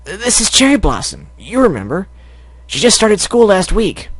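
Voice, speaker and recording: A man's voice: sits high, wildly swooping pitch, normal speed, slightly rough, dry recording, pitched above the performer's own voice, heavily acted. A man voicing a brash, eager tomboy filly. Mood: earnest.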